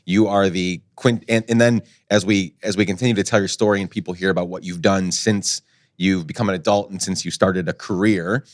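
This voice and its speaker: A man's voice: sits low, steady tone, a little fast, no echo, studio quality. A man hosting a podcast, interviewing in an earnest, encouraging, conversational way. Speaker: podcast host.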